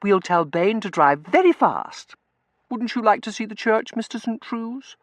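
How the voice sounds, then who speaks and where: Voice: mid-range voice, expressive, measured pace, dry recording, pitched above the performer's own voice, natural voice. A narrator voicing a spoiled, affected young lady who coos in fluttery, prissy baby talk in a storybook reading. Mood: gushing, eager.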